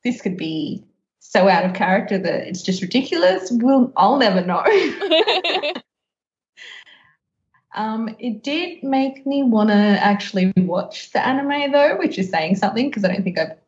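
A woman's voice: on the high side, lively intonation, unhurried. Giggly woman podcaster in an echoey room. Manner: giggly, often laughs while talking. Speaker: podcast host. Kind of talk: casual chat. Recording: slightly dull, echoey room.

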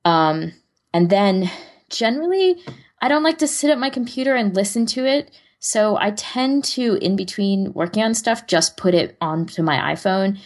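A woman's voice: medium pitch, lively intonation, moderate pace. A woman co-hosting a podcast in a casual, conversational way, explaining things step by step with loose, informal asides. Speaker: podcast co-host. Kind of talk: casual chat.

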